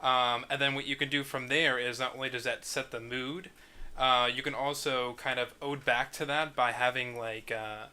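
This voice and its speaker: A man's voice: mid-range voice, clean tone. A man hosting an online group script discussion in a casual, conversational way. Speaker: host of an online script table read. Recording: bright, noisy voice-call microphone.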